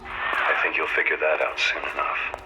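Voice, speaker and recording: An actor playing a sardonic phantom companion in a video game, dry, knowing and needling. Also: male voice, rumbling low, flat delivery, normal speed, very noisy. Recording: loud music behind the voice.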